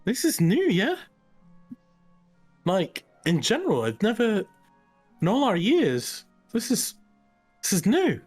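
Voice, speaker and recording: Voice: medium pitch, wildly swooping pitch, a little mellow, slightly rough, faint music behind, exaggerated voice. A player voicing a hesitant young male companion who stammers and halts often. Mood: flustered. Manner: stammering, halting.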